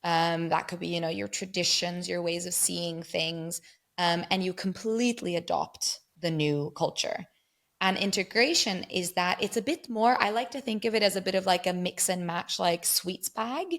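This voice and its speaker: A woman with a slightly low-pitched voice talks through ideas patiently and thoughtfully, building each point step by step. She speaks as a guest in a relaxed conversation and now and then laughs lightly. Her pitch sits a little below the usual for a woman. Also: moderate pace. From England and Germany. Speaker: guest researcher on migrant mental health. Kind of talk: conversational explaining.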